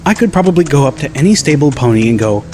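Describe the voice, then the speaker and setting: A man narrating an audiobook in the first person, measured and dryly wry. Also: medium pitch, animated, dark tone. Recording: clear music bed behind the voice.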